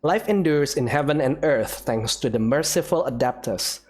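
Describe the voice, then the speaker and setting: A man's voice: medium pitch, expressive, moderate pace, noisy background. A man hosting a game playthrough, giving casual, musing, somewhat hesitant commentary and reading in-game text aloud. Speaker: gaming host, player.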